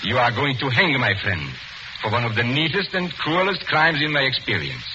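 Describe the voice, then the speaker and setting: A male actor plays a confident, courtly magician-detective in a 1940s radio drama. His delivery is deliberate and theatrical, with very animated pitch. The old recording is muffled and full of noise. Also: close to an everyday voice. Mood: triumphant explanation. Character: male master illusionist sleuth, suave, confident, unmasking a killer. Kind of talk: 1940s radio mystery acting.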